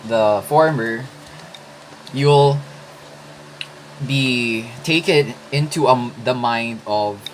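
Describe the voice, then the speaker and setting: A man in his twenties from the Philippines, whose first language is Filipino, talking through writing tips in a casual vlog with thoughtful pauses and restarts. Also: mid-range voice, fairly bright tone, slight background noise, poor audio. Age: 20s. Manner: slow, thoughtful, with hesitant restarts. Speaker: writing vlogger.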